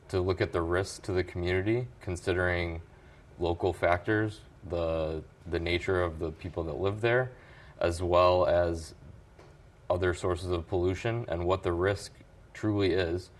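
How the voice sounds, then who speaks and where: A man from an environmental group, answering interview questions in a measured, explanatory way. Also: low voice. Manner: measured, explanatory, occasional restarts. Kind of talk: news interview guest.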